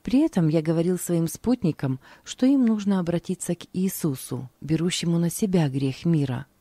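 A woman reads a religious diary aloud in a calm, even way. Her voice sits low and sounds warm and mellow. The recording is close and dry, with no room sound. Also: moderate pace, slightly rough, no echo. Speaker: narrator. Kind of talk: scripted reading of a religious diary.

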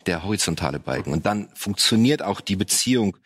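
A man with a low voice speaks as a guest on a faith panel. He is reflective and halting, filling gaps with hesitations. He sounds like a pastor thinking aloud. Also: lively intonation, fairly bright tone, breathy edge. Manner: reflective, halting, with many fillers. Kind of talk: panel discussion on parenting and faith.

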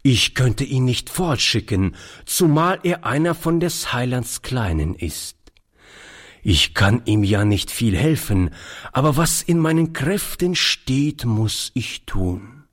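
Man as a gentle, slow-spoken old grandfather. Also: mid-range voice, big pitch swings, husky, exaggerated voice. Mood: earnest, resolute. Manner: mild, halting, earnest. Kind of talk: audiobook character voice.

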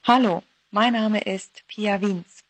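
A woman's voice: medium pitch, fairly even intonation, slow pace, dry recording. A woman in her thirties giving a short personal devotional talk as a guest contributor. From Philadelphia (Mennonite colony).

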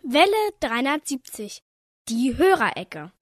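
A woman's voice: high-pitched, big pitch swings, unhurried, music in the background. A woman announcing radio segment titles in a station jingle style.

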